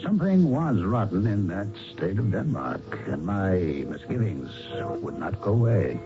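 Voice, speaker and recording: An actor playing a polite, persistent investigator with tactful, probing delivery in an old-time radio drama. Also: male voice, lowish voice, very animated, measured pace, music in the background. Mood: brooding narration. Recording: dull, noisy old radio recording.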